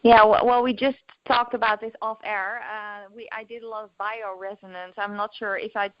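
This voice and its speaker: A woman's voice: medium pitch, flat delivery, moderate pace, dry recording. A young woman with a Dutch accent, a podcast guest talking openly with many fillers and restarts. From Netherlands. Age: young adult. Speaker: guest, recovery coach. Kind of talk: podcast interview. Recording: dull lo-fi audio.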